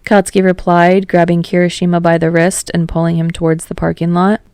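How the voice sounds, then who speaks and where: A woman's voice: fairly low pitch, little pitch movement, a little fast, a little mellow, no room sound, studio quality. A woman reading scripted fiction aloud as a narrator.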